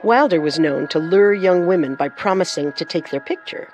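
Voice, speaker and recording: A woman's voice: lowish voice, moderate pace, a little mellow, highs cut off, faint music behind. A woman co-hosting a true crime show, delivering scripted narration with dramatic phrasing.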